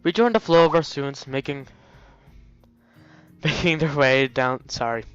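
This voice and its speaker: A man's voice: on the high side, measured pace, clear, resonant tone, no echo, lo-fi sound. A man hosting a let's play, reading visual novel text aloud with casual, jokey asides and getting easily sidetracked. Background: faint game music.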